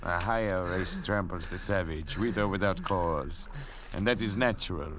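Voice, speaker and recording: An actor playing a grave, lecturing ship's doctor stranded on a raft, who also narrates, in 1940s radio acting style. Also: male voice, deep voice, a crowd in the background, lightly acted. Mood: solemn. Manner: measured, pronouncing judgments in a lofty tone.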